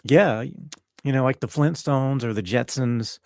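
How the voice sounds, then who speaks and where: A middle-aged man chatting casually as an interview guest, thoughtful and halting as he searches for words. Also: medium pitch, darkish tone, dry recording, clean studio sound. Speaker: interview guest, composer and audio engineer. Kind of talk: casual reflective chat.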